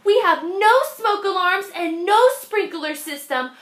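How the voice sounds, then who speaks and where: Woman voicing a worried little girl, squeaky and alarmed, storybook read-aloud, echoey room. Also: unhurried, fairly bright tone. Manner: high, alarmed and fretful. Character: anxious young schoolgirl, a worrier.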